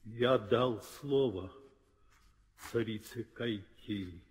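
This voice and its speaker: An actor playing an anguished old king and father in a theatrical old audio drama, his voice husky and slow. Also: lowish voice, heavily acted. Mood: broken, resigned. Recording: old radio play, hall reverb.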